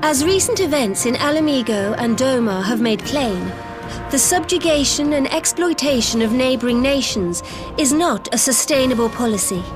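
Young video game sultana: higher pitched, formal, earnest, regal. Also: female voice, animated, moderate pace, music in the background. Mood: earnest, resolute. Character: small, dignified young sultana, earnest and resolute.

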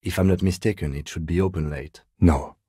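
A man playing a calm, dignified middle-aged police lieutenant in a video game, speaking in a measured, precise, deadpan way. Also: deep voice, slightly rough, no echo, clean studio sound. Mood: measured, matter-of-fact. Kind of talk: video game character dialogue.

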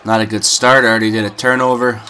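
A man's voice: fairly low pitch, flat delivery, quick pace, pushed and bright, a little hiss or hum. A young man with a cold, losing his voice, chatting casually while playing a hockey video game. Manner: chatty, complains about the game. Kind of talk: casual gameplay commentary.

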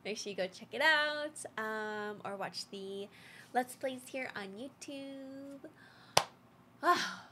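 A young adult woman, a game streamer, giving a gushing, excitable live reaction in casual chat. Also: a little high, slow pace, background noise. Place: small indoor streaming room. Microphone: desk boom microphone, headphones on. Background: game trailer music.

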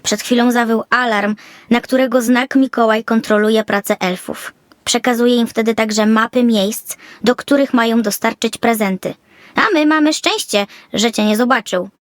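A woman plays a small, friendly male elf with a highish voice, recorded close and dry. He is caring and helpful, a storybook companion. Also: normal speed, no room sound, natural voice. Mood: relieved. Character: Fleffy, friendly little male elf balloon pilot, caring. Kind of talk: children's audio fairy tale.

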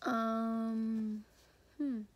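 Teen girl, hoarse from a cold, slightly high voice, soft hesitant talk, phone held at arm's length in a bedroom. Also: little pitch movement, extremely slow. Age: teens. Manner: hesitant, many ums, soft and low-key. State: hoarse, sick. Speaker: high school student. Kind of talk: casual video diary. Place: bedroom indoors. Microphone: phone or laptop camera at arm's length.

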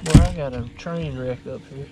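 A middle-aged man, joking and chatting while bass fishing with his son, recorded outdoors on an action camera. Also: normal speed. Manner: folksy, joking banter. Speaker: angler, father. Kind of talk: casual chat while fishing. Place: bass boat on a lake, outdoors. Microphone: action camera on the boat. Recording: outdoor camera audio with loud wind noise.

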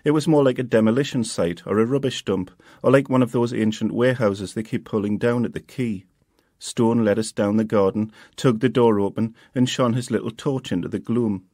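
A man, the author reading his own novel in a quiet, steady first-person voice. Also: lowish voice, a little fast, no echo, clean studio sound. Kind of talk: audiobook reading.

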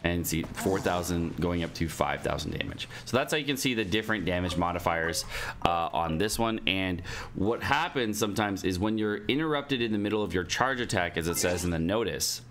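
A man's voice: deep voice, expressive, a little fast, fairly bright tone, a little hiss or hum. A young adult man giving casual gaming news commentary as a streamer, informal and slangy in manner. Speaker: game streamer. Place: indoor home streaming setup.

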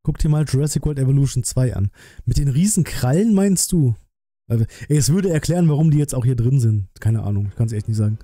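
A man in his thirties talks casually on a live stream, musing out loud in colloquial phrases. His voice is strikingly bright and crisp-edged, at a middling pitch. The sound is dry and close. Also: expressive, quick pace, no echo. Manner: musing, colloquial, thinking aloud. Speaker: streamer reacting to a game trailer.

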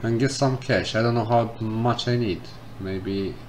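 Slow, low-voiced man playing a game. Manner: relaxed, reads game text aloud, thinks out loud. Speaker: gameplay streamer. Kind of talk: casual let's-play commentary. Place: indoors at a computer. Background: game music.

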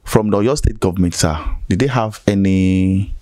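Deferential Nigerian interviewer, low male voice. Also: moderate pace, a little mellow, no echo, studio quality. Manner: deferential, hesitant. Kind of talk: on-location interview questions. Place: small furnished room indoors, on a sofa. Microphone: handheld interview microphone.

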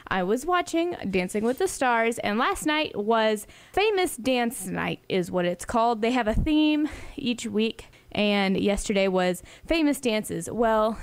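Smooth, higher-voiced young American woman DJ. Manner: chatty, informal address to listeners. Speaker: college radio DJ. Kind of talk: casual radio presenting between songs.

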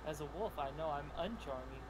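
A man's voice: fairly high pitch, brisk, noisy background. A man chatting casually as a co-streamer reacting along. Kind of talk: casual chat.

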